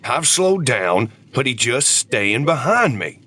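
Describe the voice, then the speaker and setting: A man's voice: mid-range voice, sing-song, takes it slowly, sharp tone, slightly rough, heavily acted. A man playing a weary older husband and war veteran, regretful but devoted, in an audio drama. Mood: alarmed, tense.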